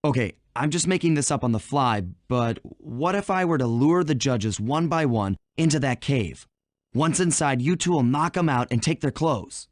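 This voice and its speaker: A man's voice: mid-range voice, expressive, moderate pace, dry recording, clean studio sound, close to an everyday voice. Man as cool wry raccoon thief. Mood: wry, confident. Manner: easygoing, wry. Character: young adult male raccoon thief, cool, wry and confident. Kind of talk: video game character voice acting.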